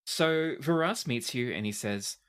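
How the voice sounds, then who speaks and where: A man with a medium-pitched voice runs a tabletop roleplaying game. He narrates scenes and explains rules in a deliberate way, as a game master does. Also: animated, fairly bright tone, smooth.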